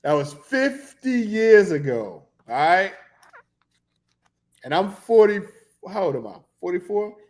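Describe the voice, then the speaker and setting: A man's voice: high-pitched, slow, pauses often. Rambling fortysomething man. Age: 40s. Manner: loose, rambling, excitable about basketball. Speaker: podcast co-host. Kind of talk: casual sports chat.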